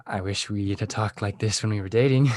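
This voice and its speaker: A man's voice: fairly low pitch, a little fast, a little mellow, no echo, studio quality, natural voice. A man playing an awkward, fragile, lovesick sixteen-year-old boy in a comedy script read. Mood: hopeful, awkward.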